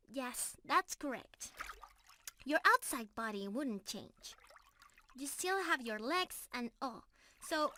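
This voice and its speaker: A woman's voice: high-pitched, slight background noise, heavily acted. A woman playing a shy, sweet young mermaid in love, speaking earnestly to one listener in an ASMR romance roleplay. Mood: tender, earnest. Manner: earnest, bashful, explaining gently to one listener.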